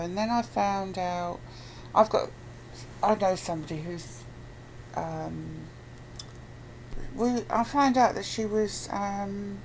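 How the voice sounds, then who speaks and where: British woman just chatting, gravelly fry in the voice, goes on in a halting blunt way, bit of noise on it. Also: mid-range voice, low-quality recording. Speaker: interviewee, abuse survivor campaigner. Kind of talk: casual conversational account.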